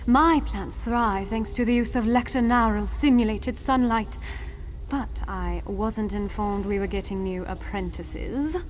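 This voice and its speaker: A woman's voice: medium pitch, measured pace, lightly acted, poor audio. A woman voicing a haughty apprentice scholar in fantasy video game dialogue, curt, precise and superior, with faint noise behind.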